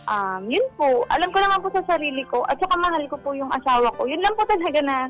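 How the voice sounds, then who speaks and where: A Filipino woman with a high voice calls in to an advice show. She speaks very quickly, politely and casually about personal matters, with faint music behind her. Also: animated, a bit bright. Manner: polite, uses respectful particles. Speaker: caller seeking relationship advice.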